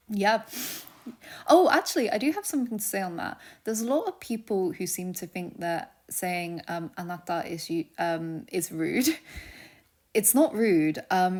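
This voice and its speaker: A young woman casually teaching a vocabulary lesson, chatty and laughing often, dropping to a soft near-whisper when she gives word meanings. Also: fairly low pitch. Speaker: language teacher.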